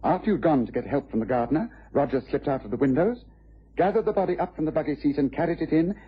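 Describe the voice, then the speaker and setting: An actor playing a shrewd, methodical English gentleman detective, precise and commanding, in a mid-century radio drama style. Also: fairly high pitch, lively intonation, quick pace, breathy edge. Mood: assured, accusing. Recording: dull, muffled vintage radio recording.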